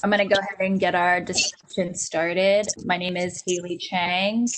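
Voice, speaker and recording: A woman's voice: fairly low pitch, little pitch movement, moderate pace. A young woman from Hawaii opening an online panel as host, speaking earnestly and steadily with frequent ums, recorded on a laptop webcam during a video call. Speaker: event host. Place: small indoor room at home.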